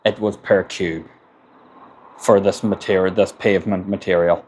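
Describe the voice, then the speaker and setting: A man's voice: sits low, fairly even intonation, moderate pace, darkish tone. An Irish man, a construction business owner, gives plain-spoken advice in a casual monologue and often repeats words as he gathers his thoughts. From Ireland.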